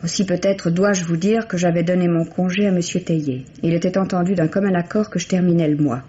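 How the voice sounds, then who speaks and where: An actress playing a composed, reserved young secretary who answers with cool candour in a French radio mystery play. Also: female voice, on the low side, fairly even intonation, fairly quick, warm tone, lightly acted. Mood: careful, candid. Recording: muffled, noisy old radio recording.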